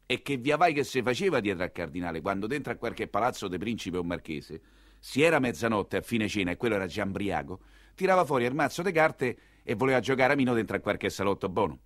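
A man with a Roman accent performing an earthy, expressive theatrical monologue as a storytelling coachman. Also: on the low side, fast, no echo, clean studio sound. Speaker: solo stage actor narrating as a coachman.

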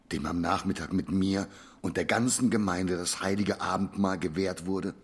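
A man's voice: low-pitched, fairly bright tone, slight background noise. An actor playing an indignant, pious, bigoted small-town father in a radio play, pompous and formal. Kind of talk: German radio drama acting.

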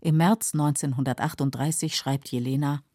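Mellow, sober documentary narrator. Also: mid-range voice, steady tone, normal speed, no room sound, studio quality. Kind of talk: scripted factual narration.

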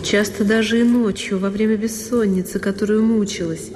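An actress playing a sorrowful young woman who recalls a lonely childhood, in an intimate, deliberate radio monologue. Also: mid-range voice, steady tone, background noise, close to an everyday voice. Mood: wistful, pained. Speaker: solo actress. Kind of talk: dramatic literary monologue for radio. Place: studio radio production. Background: music.

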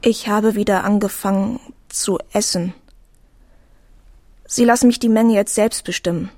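A young woman playing a fragile but determined sixteen-year-old daughter, quiet and hesitant, with a breathy voice in a radio drama. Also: medium pitch, steady tone, unhurried, dry recording, clean studio sound, natural voice. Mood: fragile, earnest. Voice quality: breathy, husky.

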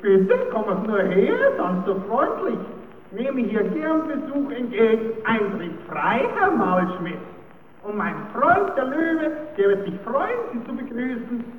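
A noisy old radio recording of a cheeky clown trickster with a very high, piping voice. He is theatrical and comic, like a puppet-show rascal in a radio play. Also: big pitch swings, a little slow, echoey room, big-room sound, recorded from a distance, phone-quality sound, exaggerated voice. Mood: mock-polite taunting. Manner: theatrical, talks to himself.

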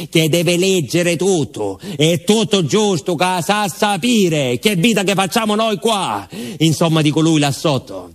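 An actor playing a garrulous, sly village postman with a southern Italian accent, telling his story as a colloquial, confiding stage monologue. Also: high voice. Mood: stirred, fond. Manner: colloquial, dialect-tinged, confiding asides to a listener.

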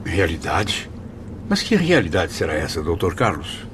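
Low, echoing, brooding intellectual. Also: male voice, big pitch swings, measured pace, background noise. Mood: defensive. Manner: formal, theatrical, reflective. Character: proud, skeptical male intellectual spirit, brooding and remorseful. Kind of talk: radio drama acting. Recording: long reverb, music behind the voice.

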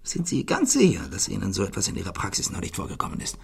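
An irascible, eccentric old millionaire for a radio play. His husky, gravelly voice leaps wildly between low grumbles and high outbursts. He sounds imperious, theatrical and abrupt. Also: wildly swooping pitch, fast, exaggerated voice. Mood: anxious, insistent. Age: older. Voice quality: raspy, gravelly voice.

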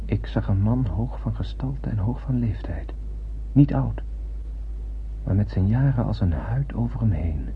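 Earnest young spaceship sailor, muffled recording. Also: male voice, lowish voice, animated, measured pace. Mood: awed, brooding. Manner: earnest, poetic, literary delivery. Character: eager young male spaceship sailor, awestruck and poetic. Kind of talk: radio drama acting. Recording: muffled radio play, clear background hum.

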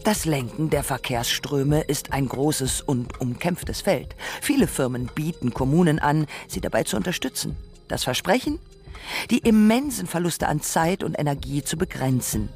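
A narrator with delivering scripted radio feature text in a measured, articulate way. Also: medium pitch, animated, a little mellow, soft background music, close to an everyday voice.

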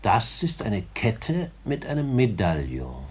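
Deep, husky old gentleman. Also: wildly swooping pitch, exaggerated voice. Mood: kindly. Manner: measured, paternal, courteous. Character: kindly but cautious elderly gentleman, Mr Brownlow. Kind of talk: 1950s German radio play acting.

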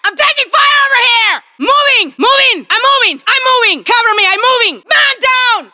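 A woman's voice: very high-pitched, moderate pace, pushed and bright, shouting, exaggerated voice. A woman voicing a tough female soldier in a video game, barking clipped, urgent military callouts.

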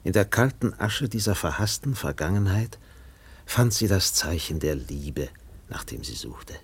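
Deep, gravelly male radio-play narrator. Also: big pitch swings. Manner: grave, deliberate. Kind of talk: scripted literary radio drama narration.

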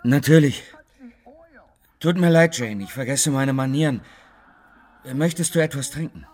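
An actor playing a restless, bitter amateur thief in a radio crime drama, questioning and insistent, eager yet wary. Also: male voice, unhurried, a little mellow, close to an everyday voice. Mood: polite. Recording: clear car rumble noise.

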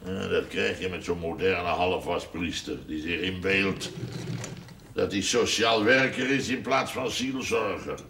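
A man's voice: sits low, measured pace, pushed and bright, full character voice. An actor playing a cynical, sarcastic middle-aged village father who grumbles in naturalistic television drama dialogue. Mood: bitter sarcasm. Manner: sardonic, grumbling. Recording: roomy, distant mic, faint noise.

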